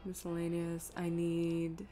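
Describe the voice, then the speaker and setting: A fairly low-voiced woman muttering her way through a video game stream, taking her time between phrases. She sounds exasperated and self-deprecating, and there is music playing behind her. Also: monotone, slow pace, mellow. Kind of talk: casual live game stream commentary.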